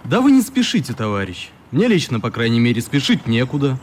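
A man's voice: mid-range voice, fairly quick. A man playing a wry, grumbling, easygoing working man in a radio play. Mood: sulky, resigned. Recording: light steady background noise.

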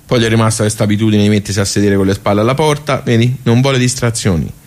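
Low-voiced, rambling Tuscan club regular played by a man. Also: fairly even intonation, very fast, a little mellow, natural voice. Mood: chatty, confiding. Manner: rambling, colloquial, self-interrupting. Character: wry, plain-spoken regular of a Tuscan workers' club, nostalgic storyteller. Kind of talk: radio theatre monologue, conversational.

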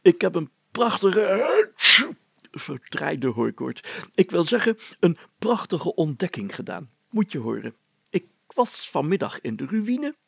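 An excitable storybook mayor, as a male reader plays him, chatty and prone to giggles. His words stumble out enthusiastically in a raised, raspy voice with a dry, close sound. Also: high voice, unhurried, dry recording, voice pushed up, full character voice. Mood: excited.

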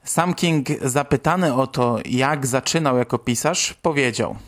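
A man's voice: medium pitch, a little slow, a bit bright. A man hosting and reading scripted narration. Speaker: host.

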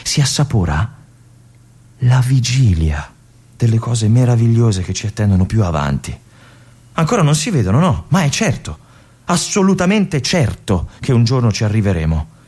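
An actor playing an aging, lonely army lieutenant in a theatrical radio monologue, reflective and resigned, with long pauses and soft whispered asides. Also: male voice, mid-range voice, very animated, husky. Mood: wistful, reflective. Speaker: stage actor.

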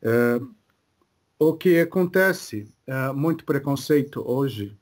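Man voicing a calm male Cree spirit guide; very slow, broken delivery, mid pitch. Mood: solemn. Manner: slow, broken phrasing with many pauses. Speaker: medium channeling a spirit. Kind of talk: slow halting spiritual channeling.

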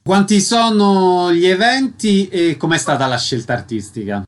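A man works as a radio interviewer. His voice sits a little high, and he delivers concise, prepared questions and introductions in a measured way. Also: animated.

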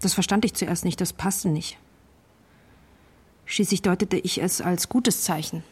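A woman's voice: on the low side, measured pace, a little mellow, breathy edge. An actress playing a hurt, bitter wife who confronts her partner in a naturalistic radio play, controlled but accusing.

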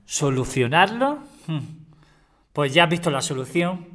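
A man's voice: on the high side, big pitch swings, a little slow, a bit bright. A man playing an angry older father facing ruin, scolding and ordering people about in a radio drama. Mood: angry, indignant. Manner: indignant, scolding, commanding.

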